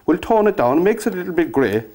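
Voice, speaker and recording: A man's voice: high-pitched, sing-song, quick pace, darkish tone. An older man with a Cork Irish accent teaching a painting class in a studio, chatty and encouraging, clipped to a lapel mic. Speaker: painting instructor. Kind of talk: on-camera painting lesson. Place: studio with easel and backdrop.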